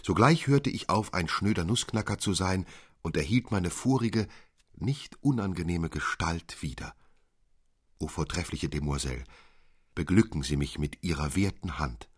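Husky, low-voiced gallant storyteller. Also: male voice, lively intonation. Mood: courtly, earnest. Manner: formal, ornate, measured phrasing. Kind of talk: German literary audio drama, formal reading.

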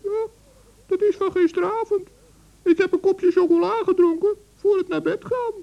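A flustered little gnome. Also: female voice, fairly even intonation, normal speed, creaky, muffled recording. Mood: anxious. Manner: flustered, stammering, very high voice. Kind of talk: 1960s radio play acting.

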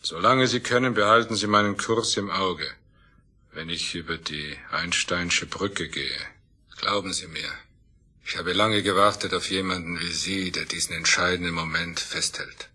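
Grandiose low-voiced obsessed scientist. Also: male voice, sharp tone, breathy edge, full character voice. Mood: solemn, grandiose. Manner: grandiose, deliberate, intense. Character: obsessed, commanding middle-aged scientist and spaceship master. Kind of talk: dramatic audio play acting. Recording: orchestral music behind the voice.

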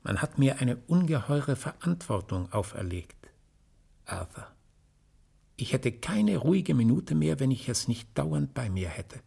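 A man's voice: mid-range voice, very animated, a little slow, creaky, warm tone, husky, heavily acted. An actor playing an older banker, an anxious, stern but fond father and uncle, in a German radio drama. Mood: grave, fond.

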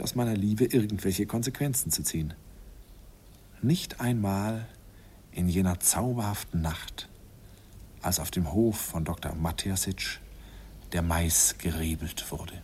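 An actor playing a nostalgic older man who recalls his shy first love in an unhurried, wistful radio play narration. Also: deep voice, a little mellow, a little hiss or hum. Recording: vintage radio recording with music behind.

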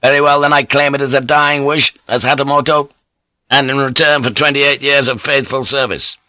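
A man's voice: medium pitch, fairly even intonation, normal speed, bright, forceful tone, dry recording, pitched above the performer's own voice, natural voice. A man voicing a proud, defiant older samurai general in an audiobook, formal, emphatic and icy. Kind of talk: dramatic audiobook character reading.

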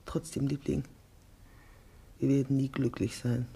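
An actress playing a despairing woman in a secret love affair in a radio drama, breathy, halting and fraught. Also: rumbling low, little pitch movement, mellow, full character voice.

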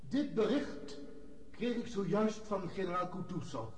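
An actor playing a solemn Russian tsar in a radio drama, making a measured, formal proclamation to his people. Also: high voice, a little mellow. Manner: measured, stately. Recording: echoey room with clear noise.

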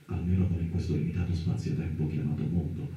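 An actor playing a confused man trapped in darkness, speaking in halting, questioning radio theatre style. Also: low voice, level tone, warm tone, lightly acted. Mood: hushed, pondering. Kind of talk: Italian radio theatre monologue and dialogue. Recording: very echoey, distant, noisy radio drama recording.

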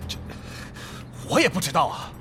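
Actor as a forceful, flustered guild leader. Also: male voice, normal speed, faint music behind, lightly acted. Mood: puzzled. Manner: agitated, forceful. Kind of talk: Chinese audio drama acting.

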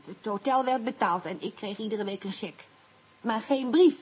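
Husky, nervous young woman. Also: on the high side, normal speed, a little mellow, poor audio. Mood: hesitant. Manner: hesitant, stammering, defensive. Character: nervous, evasive young woman under police questioning. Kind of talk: radio drama acting.

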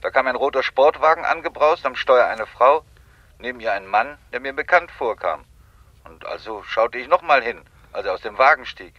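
An actor playing an earnest, deferential payroll clerk in a German radio crime drama, speaking politely and carefully through a telephone filter. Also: male voice, mid-range voice, animated, moderate pace, bright, forceful tone, dry recording. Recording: dull band-limited telephone sound.

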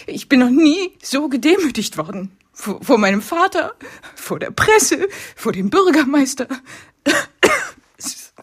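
An actress playing a wounded, humiliated fiancée in a radio crime drama, speaking haltingly and upset. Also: female voice, high voice, sing-song. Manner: halting, upset, repeating words.

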